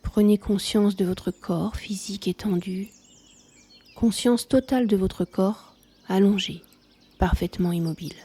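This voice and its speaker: Soft husky woman guiding relaxation. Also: medium pitch, unhurried. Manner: calm, soothing, softly spoken. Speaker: yoga nidra instructor. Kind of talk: guided relaxation meditation.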